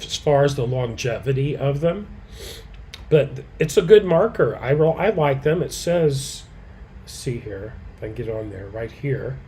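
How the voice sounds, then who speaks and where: Mellow, slightly hoarse man demonstrating crafts. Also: on the high side, slight background noise. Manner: relaxed, talks softly to himself while he works; answers viewers' comments by name. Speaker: quilting educator.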